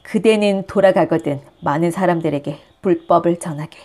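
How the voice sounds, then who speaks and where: A woman voicing a wise elder male Buddhist master in a storybook, welcoming and measured, with old-fashioned speech. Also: on the low side, darkish tone, voice pushed down, close to an everyday voice. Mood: dignified, welcoming. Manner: measured, dignified, archaic speech.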